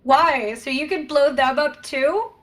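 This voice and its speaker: Woman acting a high-voiced, huffy science officer. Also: unhurried, fairly bright tone. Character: indignant, pouty, brainy female ship science officer. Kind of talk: comedic sci-fi play acting.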